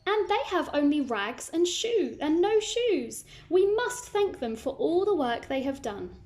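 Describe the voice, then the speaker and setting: Lady reading a kind thankful wife in a fairy tale, high voice, slow n earnest. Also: big pitch swings, a little mellow. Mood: excited gratitude. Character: shoemaker's wife, grateful, kindly woman in a fairy tale.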